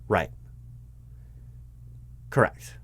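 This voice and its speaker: An American man playing a flustered corporate recruiting manager in a spoken ASMR roleplay, explaining things in a halting, officious way. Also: fairly bright tone, no room sound. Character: flustered bureaucratic male corporate recruiting manager addressing his disguised boss. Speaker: performer of a one-sided roleplay. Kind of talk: improvised conversational ASMR roleplay, spoken aloud.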